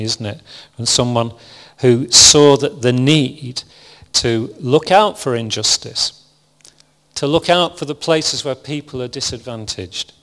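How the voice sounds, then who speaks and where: A man delivers a sermon in a calm, even and rather monotonous voice, often restarting his phrases. His voice sits in a medium register. It carries a long reverb from the hall he is speaking in. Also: mid-range voice. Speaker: preacher. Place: church hall with congregation.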